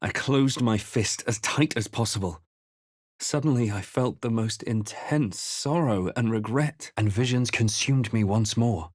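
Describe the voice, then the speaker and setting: A male actor playing a brooding, resolute fantasy RPG adventurer in a video game, with a measured, dramatic storytelling delivery. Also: medium pitch, no echo, studio quality, natural voice. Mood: grave narrating. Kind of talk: scripted video game character lines and narration.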